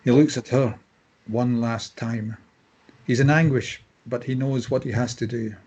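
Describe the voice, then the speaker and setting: A man's voice: mid-range voice, fairly even intonation, unhurried, darkish tone, slightly rough. A man reading stage directions as scripted narration for a drama table read.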